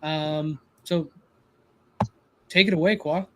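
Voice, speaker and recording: A man's voice: fairly high pitch, steady tone, takes it slowly, noisy background. A man hosting a live show, chatting in an easygoing way and prompting his guest to talk. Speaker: show host. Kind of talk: casual live-show chat.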